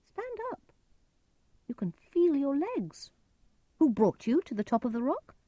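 A woman's voice: high voice, sing-song, a little slow, dark tone, no room sound, pitched above the performer's own voice. A woman voicing a nobleman's son disguised as a strange helper, exclaiming and coaxing in a storybook tale. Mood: astonished, coaxing.

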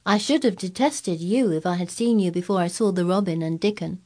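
A woman's voice: lowish voice, a little fast, a little mellow, lightly acted. A woman voicing a blunt, sour-tempered young girl in a storybook reading, frank and earnest.

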